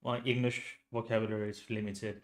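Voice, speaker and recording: A man with a Finnish accent, host of a true crime channel, talking casually with lots of restarts and pauses. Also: lowish voice, flat delivery. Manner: hesitant, many restarts and fillers. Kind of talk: casual solo commentary.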